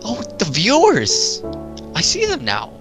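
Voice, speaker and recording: A man's voice: high-pitched, wildly swooping pitch, takes it slowly, music in the background. A man bantering playfully as a gaming co-host, casual and goofy with exaggerated reactions.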